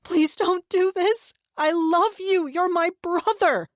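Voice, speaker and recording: A frightened young woman in danger, crying and begging in a high, strained voice, played by a woman narrator. The reading is dry and close to the mic. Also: slow, no room sound. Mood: sobbing plea. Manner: sobbing, pleading. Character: terrified young woman held hostage, sobbing.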